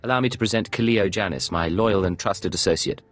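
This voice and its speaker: Man acting a low, cool secret agent. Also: fairly quick, fairly bright tone, lightly acted. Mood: suave, composed. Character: cool, self-assured secret agent posing as a nobleman. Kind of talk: scripted video game drama acting.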